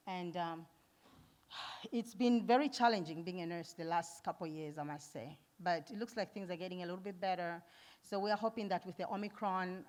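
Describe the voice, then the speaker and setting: A middle-aged woman from Zambia, a nurse giving a conference talk, earnest and warm, laughing often and pausing with fillers. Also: on the low side. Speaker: nurse and foundation founder speaking at an event. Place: event hall.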